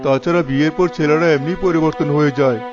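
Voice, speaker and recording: A man's voice: a little high, steady tone, fast talker, dull audio, over music, lightly acted. A man voicing a stubborn middle-aged village father in a Bengali cartoon, speaking firmly and dismissively. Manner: firm, dismissive.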